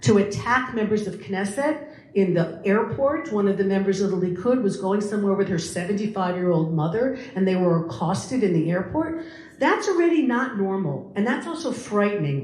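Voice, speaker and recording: A woman's voice: mid-range voice, a little mellow. Echoey lecture by an opinionated woman. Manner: opinionated, explanatory, emphatic repetition. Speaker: guest speaker. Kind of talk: public lecture on current affairs, conversational. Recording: echoey room with faint background noise.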